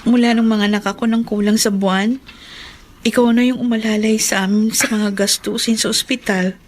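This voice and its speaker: A woman's voice: a little high, steady tone, normal speed, a little hiss or hum, natural voice. An actress playing a proud, emotional young wife and mother in a Filipino radio drama, with a Filipino accent. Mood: grateful, tender.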